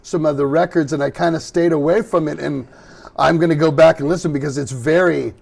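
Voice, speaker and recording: A man's voice: a little high, fairly even intonation, a little fast, creaky, darkish tone. A middle-aged man with an American accent, hosting a casual interview in informal, slangy language. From California, US. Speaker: podcast host.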